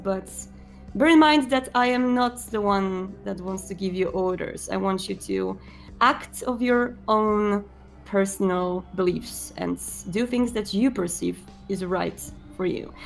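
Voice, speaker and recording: Casting: woman as male vampire prince, tabletop game. Courteous, formal, quietly commanding, slight gravel in the voice. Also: medium pitch. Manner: formal, measured, polite.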